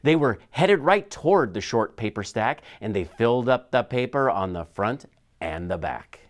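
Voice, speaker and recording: A man reads a children's story aloud in a mid-range voice. His intonation swings widely, with dramatic, animated shifts that suit picture book storytelling. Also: mid-range voice, normal speed. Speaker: school superintendent reading aloud.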